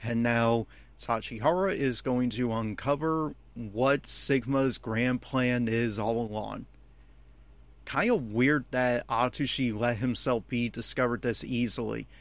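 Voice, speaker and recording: Man, medium-pitched voice, emphatic, opinionated, spontaneous episode commentary, dry room-free sound. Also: fairly even intonation, a little slow, no room sound. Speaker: anime commentator. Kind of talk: off-the-cuff audio commentary on an anime episode.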